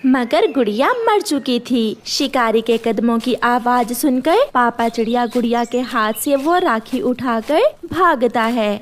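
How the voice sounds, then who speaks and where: A woman's voice: fairly high pitch, a little slow, hardly any pauses, slight background noise. A woman narrating a scripted children's cartoon story.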